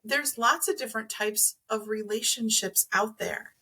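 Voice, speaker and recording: A woman's voice: on the high side, normal speed, bright, forceful tone, breathy edge. A woman speaking as a podcast guest, explaining things conversationally and rephrasing as she thinks aloud. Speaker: podcast guest, energy healer. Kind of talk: conversational explaining of crystals and chakras.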